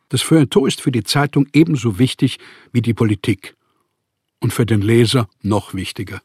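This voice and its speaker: An actor playing a proud, embittered male writer and journalist in a radio play, speaking formal letters with emphatic, declarative phrasing and a husky voice. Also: mid-range voice, no echo, studio quality. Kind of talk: radio play acting, reading letters aloud.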